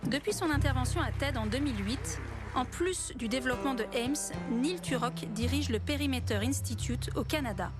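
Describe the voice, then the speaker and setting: A woman reading a documentary voice-over in a smooth, measured way. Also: high voice. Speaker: documentary narrator. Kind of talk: scripted narration. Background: background music.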